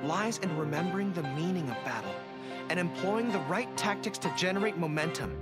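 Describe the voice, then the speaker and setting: An actor playing an earnest, commanding young canine-eared warrior general in an anime game, with a declamatory, rallying delivery. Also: male voice, high-pitched, animated, brisk. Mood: rousing, confident. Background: loud game soundtrack music.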